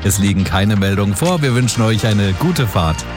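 A man's voice: lowish voice, animated, moderate pace. A man presenting radio weather and traffic in standard German, as a scripted service update. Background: music bed.

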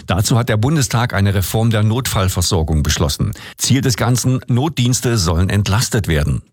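A man reading the radio news in a measured, formal way. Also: lowish voice, expressive, a little mellow, husky, no echo, clean studio sound. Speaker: news anchor. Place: radio studio. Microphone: studio microphone.

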